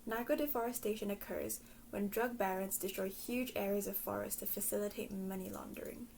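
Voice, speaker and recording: A woman from Singapore giving a scripted explanatory talk in a measured way. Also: medium pitch, creaky, darkish tone, a little hiss or hum. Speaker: presenter. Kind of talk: scripted educational narration.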